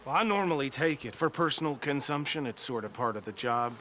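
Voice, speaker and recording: A man's voice: medium pitch, lively intonation, a little fast, sharp tone, a little hiss or hum. An actor playing a folksy, cranky Wild West lawman in a video game, blustering in colloquial turns of phrase. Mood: folksy, sly. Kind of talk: video game drama acting.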